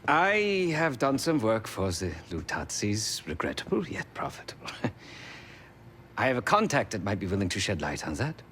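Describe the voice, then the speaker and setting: A man's voice: lowish voice, wildly swooping pitch, normal speed, a bit bright, a little hiss or hum, full character voice. An actor playing a precise, wry male tech expert with formal wording in a video game. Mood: rueful. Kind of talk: video game cinematic acting.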